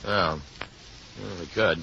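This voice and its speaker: An actor playing a breezy, easygoing young American boyfriend, casual and chummy, in an old-time radio drama. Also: male voice, low voice, steady tone, takes it slowly, gravelly, bright, forceful tone, heavily acted. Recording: dull, noisy old radio recording.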